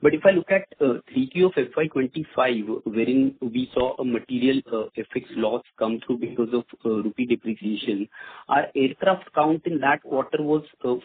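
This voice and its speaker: A man speaking as a financial analyst, putting formal questions on a conference call in a polite, halting way. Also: mid-range voice, husky. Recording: muffled narrow phone line.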